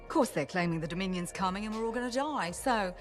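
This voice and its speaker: Actress as sly, mid-pitched female wheeler-dealer. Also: expressive, fast, natural voice. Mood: sly, breezy. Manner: sly, dry, teasing. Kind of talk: video game dialogue. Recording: music behind the voice.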